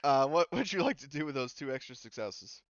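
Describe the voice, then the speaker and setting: A man's voice: medium pitch, lively intonation, brisk, no echo, lo-fi sound. A man speaking casually as game master, narrating scenes and making rulings in an informal way.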